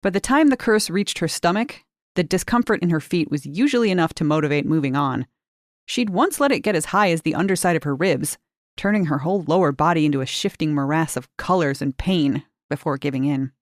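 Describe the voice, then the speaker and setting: A woman reading a short story aloud in measured, expressive narration, lifting key words for emphasis. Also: deep voice, dry recording, studio quality. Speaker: reader of short fiction.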